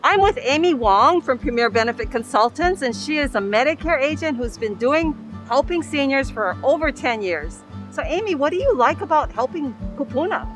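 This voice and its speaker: A woman's voice: high-pitched, lively intonation, moderate pace, few pauses, faint music behind. A woman with a Hawaiian accent hosting a lifestyle show in a chatty, playful way. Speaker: show host.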